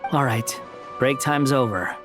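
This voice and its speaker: An actor playing a guarded young puppet turned student in a video game, terse, deliberate and serious. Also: male voice, mid-range voice, over music, lightly acted. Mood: stern, earnest.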